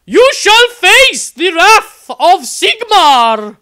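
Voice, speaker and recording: A man's voice: very high-pitched, very animated, extremely slow, bright, forceful tone, voice pushed up, exaggerated voice. A man voicing a zealous, plump warrior priestess in a fantasy story, bellowing fierce battle commands. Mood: righteous fury. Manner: bellowing battle cries at the top of powerful lungs.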